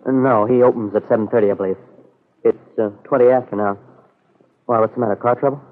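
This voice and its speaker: An actor with an American accent playing an earnest, hesitant young soldier in an old radio soap opera, on a muffled vintage recording. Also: male voice, mid-range voice, steady tone, a little fast, natural voice. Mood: polite, hesitant. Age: young adult. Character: earnest young soldier pleading with the girl he loves.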